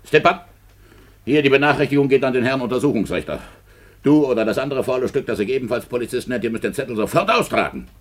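An actor playing a middle-aged, grumbling, jealous small-town Russian police chief in a 1950s German radio play, gruff and officious with a husky voice. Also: male voice, medium pitch, expressive, quick pace, close to an everyday voice. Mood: officious, bossy. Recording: 1950s radio drama recording.

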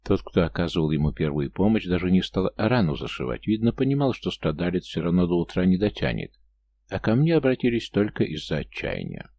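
A man with a very low, gravelly voice narrates a fantasy audiobook in the first person. The tone is bright and forward, and the recording is dry and close. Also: sing-song, a little fast, no room sound.

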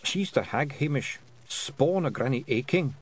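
A man's voice: medium pitch, lively intonation, unhurried, slightly rough, voice pushed up. A man voicing a tiny, bold pictsy clan leader with a blunt, emphatic Scottish dialect, in a storybook reading. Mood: firm.

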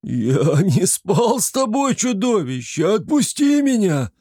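A frightened old drunkard, shrill and wailing, his voice leaping high and loud. He begs in terror, played by a man reading the story. Dry, close recording. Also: sing-song, unhurried, creaky, breathy edge, no room sound, heavily acted. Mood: panicked wailing. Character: panicked drunk old male moonshiner.

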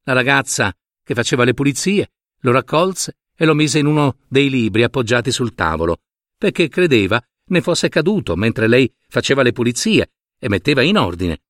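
Fairy tale narrator: man, mid-pitched, highly varied intonation, theatrical delivery with chatty asides. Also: very animated, moderate pace, fairly bright tone, no room sound, studio quality. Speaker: storyteller.

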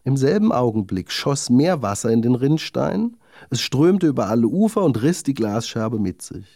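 A man's voice: medium pitch, big pitch swings, normal speed, dark tone, no echo, clean studio sound. A man narrating a children's fairy tale from a script, as a storyteller.

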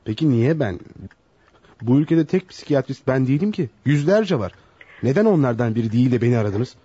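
Quick-talking, mellow young psychologist. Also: male voice, medium pitch, expressive, breathy edge. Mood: startled, probing. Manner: courteous, questioning, flustered. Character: polite, bemused young bachelor psychologist. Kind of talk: radio theatre acting. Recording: dull, roomy lo-fi radio drama.

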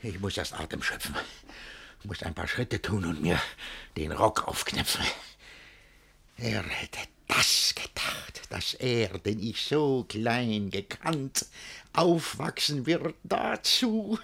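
An actor playing a jealous, pompous, panicky middle-aged master in a theatrical verse comedy for radio, raspy and breathless, swinging between muttering and bellowing. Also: male voice, fairly low pitch, animated, slow, bright, forceful tone, heavily acted. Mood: struggling for calm.

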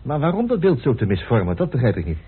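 An actor playing an inquisitive, wry investigator in a radio play. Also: male voice, medium pitch, wildly swooping pitch, quick pace, breathy edge, full character voice. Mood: curious. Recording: tinny, faintly noisy old radio recording.

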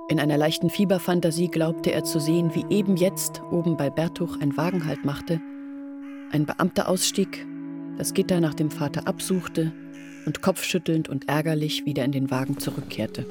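A woman's voice: deep voice, natural voice. Woman playing a sorrowful young village woman. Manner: quiet, sorrowful storytelling. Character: young village woman recounting her family's ruin. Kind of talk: radio play narration. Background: faint music.

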